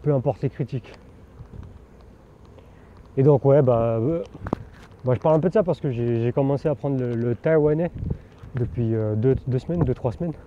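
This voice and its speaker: A man's voice: medium pitch, normal speed, long pauses. Rambling man talking while cycling outdoors. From France. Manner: rambling and candid, many fillers and restarts, occasional swearing. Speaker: vlogger. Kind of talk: casual spoken monologue. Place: outdoors while riding a bike. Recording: dull outdoor recording with background noise.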